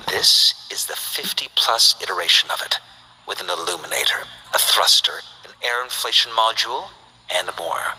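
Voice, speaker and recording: Husky, low-voiced stern scientist. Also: male voice, pushed and bright, exaggerated voice, rough recording. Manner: formal, precise, measured diction. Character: stern, principled male scientist and weapons inventor, formal and dutiful. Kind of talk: scripted video game character voice lines.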